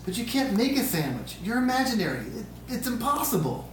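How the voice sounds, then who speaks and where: Woman as an indignant young boy, animated, echoey. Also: a little high, brisk. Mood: indignant, pleading. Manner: whiny, protesting. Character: plaintive, indignant nine-year-old boy. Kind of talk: amateur script table read. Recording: echoey room, distant mic, hiss.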